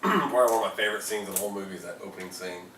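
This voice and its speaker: A man of medium pitch banters casually as a podcast co-host. He is off the microphone in an echoey room, with clear noise in the recording. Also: racing, sharp tone. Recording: noisy, echoey, distant mic.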